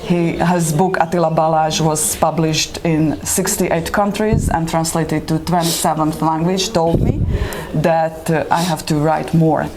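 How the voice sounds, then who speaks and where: A woman from Slovakia whose first language is Hungarian, a guest poet answering interview questions with many pauses and restarts. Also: deep voice, fairly even intonation, a crowd in the background. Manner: hesitant, many fillers and restarts. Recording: noisy room ambience.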